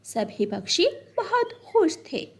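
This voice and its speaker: A woman narrating a scripted children's story in an expressive storytelling manner. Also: very high voice, slow.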